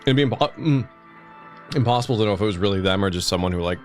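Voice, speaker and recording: A man's voice: lowish voice, very fast, faint music behind. A man co-hosting a livestream, chatting casually and reading out comments. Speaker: livestream co-host.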